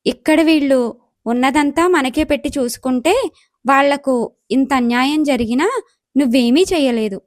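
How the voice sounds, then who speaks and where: A woman acts out a young, impatient goddess from a storybook tale. She questions and complains in a very high voice, recorded close and dry. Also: moderate pace, pauses often, dry recording, close to an everyday voice. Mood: indignant. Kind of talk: acted moral story dialogue.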